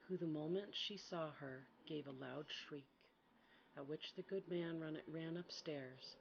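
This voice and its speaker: A woman softly reading a fairy tale as a quiet ASMR bedtime storyteller. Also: fairly low pitch, lo-fi sound, a little hiss or hum. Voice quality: soft, quiet.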